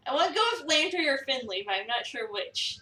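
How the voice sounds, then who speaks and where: A woman's voice: sits high, expressive, brisk, sharp tone. A woman streamer reading a visual novel aloud and chatting casually with her co-host. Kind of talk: dramatic reading of game narration mixed with casual chat. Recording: echoey room, distant mic, game music behind.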